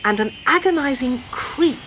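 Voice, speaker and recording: A woman reading a children's story in an expressive, theatrical narrator's style. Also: high-pitched, moderate pace, muffled recording, a little hiss or hum. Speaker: storybook reader.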